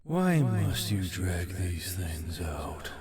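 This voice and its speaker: An actor playing a grumbling, haughty talking torque that is disgusted with the people around it, in an audiobook. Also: male voice, sits low, very animated, darkish tone, exaggerated voice. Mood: exasperated disgust. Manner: exasperated, haughty, drawn-out delivery. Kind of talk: audiobook character acting.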